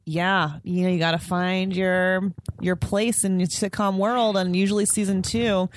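A woman's voice: medium pitch, flat delivery, measured pace, slight background noise. A woman guesting on a podcast in casual chat, talking hesitantly with many fillers.

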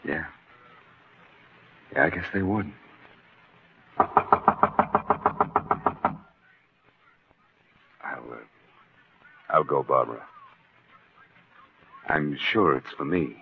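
An actor playing a cold, scheming husband in a 1940s radio thriller, controlled, guarded and quietly menacing. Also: male voice, rumbling low, expressive, slow pace, vocal fry, pauses often, slight background noise, full character voice. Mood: subdued. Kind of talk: 1940s radio drama acting. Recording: muffled, tinny old radio broadcast.

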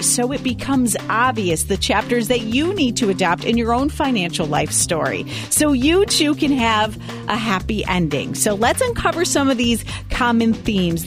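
Woman hosting a podcast over music. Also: on the high side. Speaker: podcast host.

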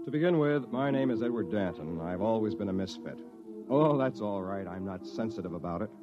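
Male actor as earnest young misfit space settler, old radio drama. Chatty, lively intonation, muffled broadcast sound. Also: lowish voice, a little fast, loud music behind the voice, close to an everyday voice. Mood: proud, confiding. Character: self-declared misfit young man, proudly eccentric space settler. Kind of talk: 1950s radio drama acting, first-person narration.

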